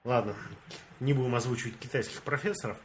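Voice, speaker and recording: A man's voice: medium pitch, fast, a little hiss or hum. A young man from Russia, telling a story in casual chat with friends and acting out the dialogue. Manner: animated storyteller, acts out dialogue, laughs often.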